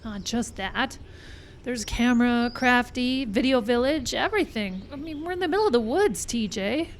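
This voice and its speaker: Woman playing a skeptical, high-voiced actress. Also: animated, moderate pace. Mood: doubtful. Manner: dry, sarcastic, questioning. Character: skeptical, weary actress, dryly exasperated with her director. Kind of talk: live radio comedy acting. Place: live show in a park. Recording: live show with faint background noise.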